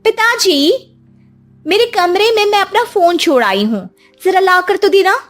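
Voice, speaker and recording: A woman voicing a spiteful, scheming young daughter-in-law in a cartoon story, with a shrill, scornful manner. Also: animated, a little slow, fairly bright tone, soft background music, exaggerated voice. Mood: bossy.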